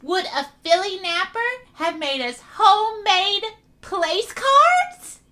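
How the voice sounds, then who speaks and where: A woman's voice: very high-pitched, animated, takes it slowly, sharp tone, full character voice. A woman voicing a bubbly, whimsical young unicorn with a giddy, sing-song delivery.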